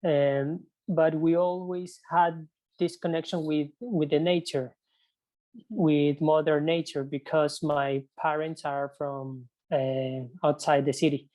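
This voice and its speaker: A young man from Ecuador talks, searching for his English words. He speaks slowly, halting and restarting his sentences with many fillers. It is a relaxed interview conversation. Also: a little high, low-quality recording. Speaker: podcast guest.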